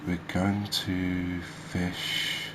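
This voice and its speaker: A soft-spoken man giving relaxed, unhurried ASMR commentary on a video game, speaking close to the mic. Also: deep voice. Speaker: gaming video creator. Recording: clear background noise, ambience.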